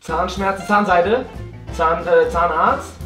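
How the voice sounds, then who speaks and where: A man's voice: sits high, slow pace. A man in his twenties playing a guessing game, calling out short words in a casual way. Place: indoor home cinema room. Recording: echoey room, distant mic, music.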